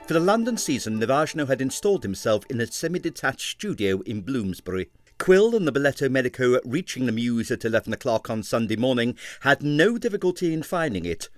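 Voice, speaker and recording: Expressive male audiobook narrator. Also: medium pitch, fairly quick, no room sound, soft background music. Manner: expressive, character-voicing reader. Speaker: audiobook reader.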